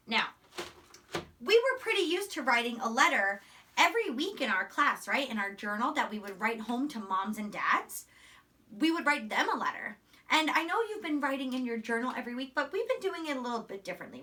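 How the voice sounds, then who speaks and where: Bright, high-pitched woman teaching young children. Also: distant mic. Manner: expressive, simple explanatory phrasing with frequent check-in questions. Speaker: elementary school teacher. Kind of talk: read-aloud and lesson for young children.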